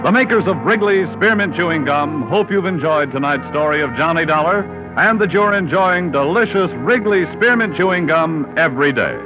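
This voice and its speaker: A man's voice: fairly high pitch, expressive, normal speed. A male announcer reading a sponsor ad and credits in polished 1950s radio style. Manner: bright, polished announcer delivery. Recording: tinny old radio broadcast with music.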